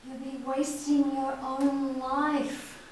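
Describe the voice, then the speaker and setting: A woman acting in a stage play as a partner pleading for calm, hushed, slow and coaxing. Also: sits high. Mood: soothing, pleading. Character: pleading woman calming her enraged partner. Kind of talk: amateur one-act stage play acting. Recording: echoey room from far off, noisy.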